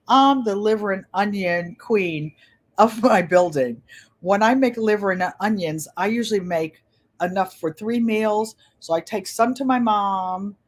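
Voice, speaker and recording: A woman in her seventies talks on her own livestream in a gentle, pleasant voice. She rambles cheerfully and says many phrases twice. Her pitch sits in the middle for a woman. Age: 70s. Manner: chatty, rambling, repeats phrases. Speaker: channel host. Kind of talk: casual livestream chat.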